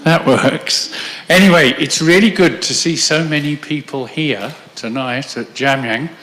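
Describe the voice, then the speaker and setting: A man's voice: on the high side, a little slow, fairly bright tone, rough recording. A man introducing a speaker to an audience at a meditation centre, in a chatty, friendly way with joking asides. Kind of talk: casual public introduction. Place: lecture room at a meditation centre.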